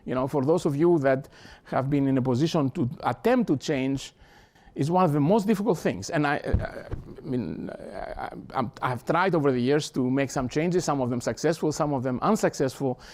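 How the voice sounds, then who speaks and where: Greek-accented professor, halting and earnest. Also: male voice, medium pitch, darkish tone. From Greece. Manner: earnest, halting, with frequent restarts and repeated words. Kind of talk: academic talk. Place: lecture hall.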